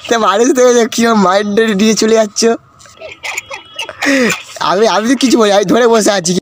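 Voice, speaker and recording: Fast-talking young man, teasing. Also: sits high, steady tone, heavy background noise. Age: young adult.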